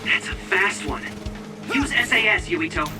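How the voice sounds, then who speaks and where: A man's voice: high voice, expressive, measured pace, far from the mic, over loud music. An actor playing a keen, formal young male teammate calling out advice in a video game battle. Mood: keen, urgent.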